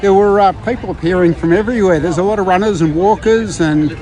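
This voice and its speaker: A man's voice: high-pitched, warm tone. A man from Victoria, doing upbeat, joking roving interviews outdoors at a running event. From Victoria, Australia. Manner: upbeat, chatty, quick to joke. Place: outdoors at a park run event.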